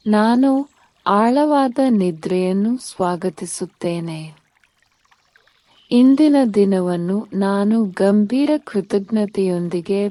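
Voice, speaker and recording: Female meditation guide: soft-toned, dark and mellow, very slow scripted delivery with soothing pauses. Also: medium pitch, no room sound. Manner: soothing, unhurried, instructing in short phrases with pauses.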